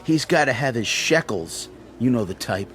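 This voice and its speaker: An actor playing a snide, excitable, loyal henchman in a radio drama, brash and sneering. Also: mid-range voice, normal speed, close to an everyday voice.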